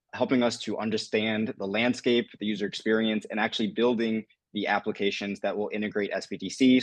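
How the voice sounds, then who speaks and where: A man's voice: lowish voice, no room sound. A man moderating a panel discussion, introducing guests in an upbeat, scripted way.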